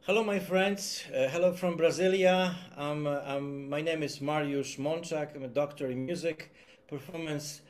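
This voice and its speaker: Polish-accented male musician presenting a talk, halting delivery, somewhat high pitch. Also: steady tone. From Poland. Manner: hesitant, many fillers and restarts. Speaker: concert musician giving a talk. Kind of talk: presentation, partly read aloud.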